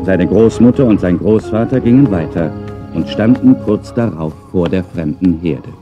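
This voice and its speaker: A man with a low voice narrates a children's audio play. The sound is slightly dull, like a cassette, with soft music behind him. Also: moderate pace.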